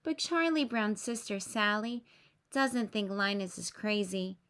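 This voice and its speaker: A woman reading a children's story aloud with expressive narration aimed at young listeners. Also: medium pitch, a little slow, clean tone. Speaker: storybook reader.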